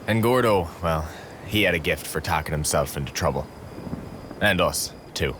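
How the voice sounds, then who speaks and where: Deep-voiced, world-weary Newfoundland soldier. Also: male voice, lively intonation, normal speed, noisy background. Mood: reflective. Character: world-weary, level-headed soldier recalling the war. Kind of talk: dramatic game acting with reflective narration. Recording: music behind the voice.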